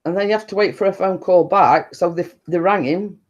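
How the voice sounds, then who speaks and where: A woman with a deep-toned voice, chatting casually and rambling to her viewers on a live stream. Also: fairly quick, a little mellow. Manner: chatty, meandering, repeats stock phrases and laughs often.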